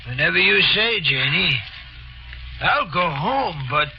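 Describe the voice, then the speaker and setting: An actor playing a plain-spoken carousel worker in a 1940s radio drama, with folksy speech. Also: male voice, high-pitched, lively intonation, takes it slowly, gravelly, slight background noise, heavily acted. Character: gruff older male carousel hand. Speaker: carousel operator. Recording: muffled 1940s radio broadcast.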